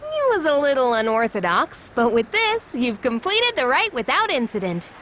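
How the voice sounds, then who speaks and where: An actress playing a brisk, encouraging young woman in a video game, with an upbeat, businesslike manner. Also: high-pitched, huge pitch swings, a bit bright, a little hiss or hum, heavily acted. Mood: pleased, impressed. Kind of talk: scripted video game acting.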